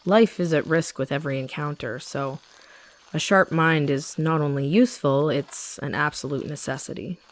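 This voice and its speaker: A woman acting as a young female hunter who has turned rebel, speaking in a low register. She is gentle and confiding, carrying guilt, in the intimate manner of roleplay audio drama. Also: moderate pace, natural voice. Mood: calm, teasing. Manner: soft, confiding, addressing the listener directly.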